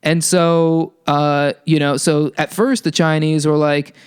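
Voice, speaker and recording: A man's voice: little pitch movement, takes it slowly, smooth. A young man hosting a casual health podcast, talking in a sarcastic, profane ramble full of fillers and restarts. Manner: puts on silly high voices for quoted people. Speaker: podcast host.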